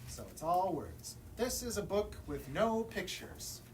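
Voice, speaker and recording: A man's voice: high voice, sing-song, unhurried, darkish tone. A man reading a children's picture book aloud as a librarian at story time, expressively, putting questions to young listeners. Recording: distant mic, room noise.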